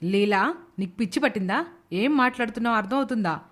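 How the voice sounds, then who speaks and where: A woman acting an uneasy, complicit nurse in a cartoon moral tale. Her voice is a little high, and she speaks dramatically and expressively. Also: lively intonation, fast. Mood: shocked. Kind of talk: animated moral story voice acting.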